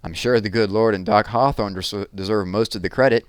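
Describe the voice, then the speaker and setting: A man's voice: lowish voice, steady tone, fairly quick, smooth, no echo, studio quality, natural voice. A man as a friendly, humble street onion seller. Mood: friendly, modest.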